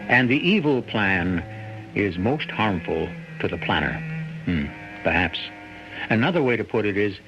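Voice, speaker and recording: A man's voice: fairly low pitch, vocal fry, background noise. A man hosting a radio mystery drama with theatrical, wry and ominous narration, full of rhetorical questions. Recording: muffled old radio broadcast. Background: music.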